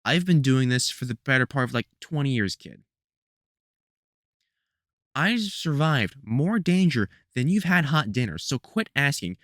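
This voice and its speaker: A man's voice: mid-range voice, big pitch swings, a little slow, a bit bright, no room sound, studio quality. A man playing a protective, sardonic veteran vampire hunter in an audio roleplay, scolding fondly in colloquial speech. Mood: stern, exasperated. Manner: colloquial, sardonic, scolding mentor with mild swearing. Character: gruff, protective veteran male vampire hunter, exasperated but fond. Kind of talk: scripted audio roleplay, one-sided conversation.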